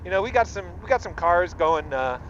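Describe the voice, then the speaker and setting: A man's voice: sits high, lively intonation, brisk, a bit bright. A man giving playful, chatty running commentary like a field reporter while walking outdoors with a headset. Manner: chatty, mock weatherman and reporter delivery. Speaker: friend helping test headsets. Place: outdoors walking along a city street. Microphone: wireless intercom headset. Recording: dull intercom headset, faint traffic noise.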